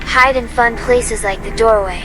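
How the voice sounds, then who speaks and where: A woman's voice: on the high side, close to an everyday voice, poor audio. A woman playing an eerily cheerful narrator of a children's safety film, giving short scripted instructions. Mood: quietly sinister. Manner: instructional, short measured sentences. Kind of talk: scripted public-service narration, horror. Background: prominent music.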